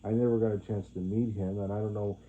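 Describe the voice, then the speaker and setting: A man in his twenties rambling to his viewers in a monologue, halting often with restarts and fillers. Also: fairly low pitch, flat delivery, dark tone, a little hiss or hum. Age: 20s. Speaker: vlogger. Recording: phone-like narrow sound.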